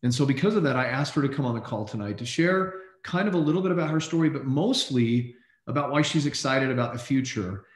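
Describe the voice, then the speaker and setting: A man hosts a group call in a casual, introductory way. His pitch sits in the middle for a man. The sound is roomy and echoey. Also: fast talker. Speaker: host of a team call.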